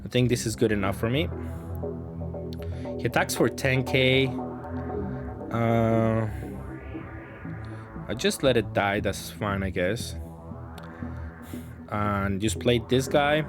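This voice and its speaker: Male gameplay commentator, mid-pitched, casual and hesitant, thinking out loud over music. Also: takes it slowly, pauses often. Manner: thinking aloud, hesitant, self-correcting. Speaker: gaming content creator commentating matches.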